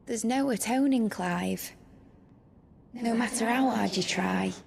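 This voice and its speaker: A cold fatalistic game woman, super creaky, slow. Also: fairly high pitch, a little mellow, a little hiss or hum, exaggerated voice. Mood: resigned. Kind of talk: dramatic video game voice acting.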